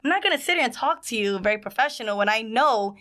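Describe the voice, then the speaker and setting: A woman's voice: fairly high pitch, fast. A woman with a Brooklyn accent, a social worker guesting on a podcast, chatting casually with lots of "you know". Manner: animated storyteller, frequent "you know" and laughs.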